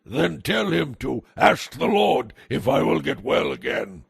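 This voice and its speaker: Ailing ancient king, very croaky, husky, anxious, audio Bible drama. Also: fairly high pitch, very animated, measured pace, dry recording, clean studio sound, heavily acted. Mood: anxious, weak. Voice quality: gravelly, husky. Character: sick old king of Aram, anxious about recovery.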